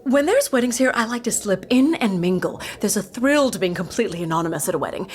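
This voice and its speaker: A woman's voice: medium pitch, animated, fast talker, lightly acted. A woman playing a nosy, self-satisfied big boss in a sitcom podcast, theatrical and confiding. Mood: excited, confiding.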